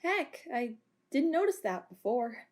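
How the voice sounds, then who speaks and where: A woman playing a calm, deadpan female officer in an amateur audio drama. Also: on the high side, a little slow. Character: laid-back female officer, deadpan tea lover.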